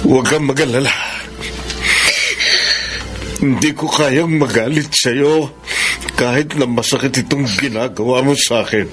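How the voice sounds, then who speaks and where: Man acting a slow, anguished, heartbroken Filipino husband. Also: mid-range voice, lightly acted. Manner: anguished, pleading, drawn-out delivery. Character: sick, heartbroken, self-sacrificing husband letting his wife go. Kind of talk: Filipino radio soap opera acting. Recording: dramatic music under the voice.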